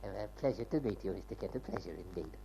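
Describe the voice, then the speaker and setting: Through a noisy old radio recording comes a fussy old man. His voice is creaky and wavers widely. He fawns eagerly and says things twice, oily and eccentric. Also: lowish voice, animated, quick pace. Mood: oily, welcoming. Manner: fawning, repeats his phrases. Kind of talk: old-time radio detective drama acting.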